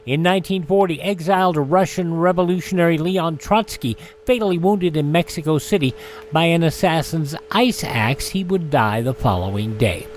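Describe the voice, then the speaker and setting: A man's voice: on the high side, normal speed, slight background noise. A man hosting a radio show, reading scripted items about dates in history. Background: music.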